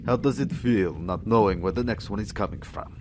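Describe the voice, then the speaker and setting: An actor playing a cold, mocking torturer in a radio drama, taunting and full of menace. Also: male voice, lowish voice, brisk, slight background noise, close to an everyday voice. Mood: sadistic taunting. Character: sadistic male torturer villain, cold and mocking.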